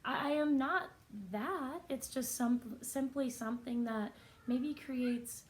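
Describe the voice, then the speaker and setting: Soft, raspy woman vlogger. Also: high-pitched, slight background noise. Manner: earnest, reflective, unhurried with pauses. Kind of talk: personal vlog, sincere reflection.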